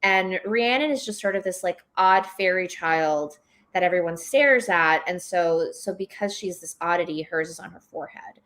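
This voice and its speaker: A woman from Ecuador and New York talks casually as a guest author, halting and then rushing as she explains. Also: on the low side, a bit bright. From born in Ecuador, moved to New York at six or seven. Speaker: novelist, guest at a book club. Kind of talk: casual live discussion about writing.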